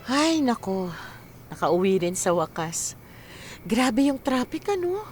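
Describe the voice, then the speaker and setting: A woman's voice: on the high side, sing-song, slow pace. Worried sickly mom in her 40s, radio drama, hesitant, music behind her. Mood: weary, homely. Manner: hesitant, stammering when worried. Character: anxious, ailing mother in her forties. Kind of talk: Filipino radio drama acting. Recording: background music under the voice.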